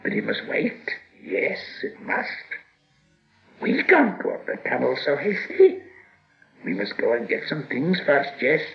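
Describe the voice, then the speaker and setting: A man's voice: medium pitch, wildly swooping pitch, measured pace, gravelly, lo-fi sound, faint music behind, pitched above the performer's own voice, exaggerated voice. A man voicing a nasty, wheedling cave creature in a storybook, hissing and shrieking, muttering to himself in broken grammar. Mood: sly, hissing. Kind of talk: storybook character voice.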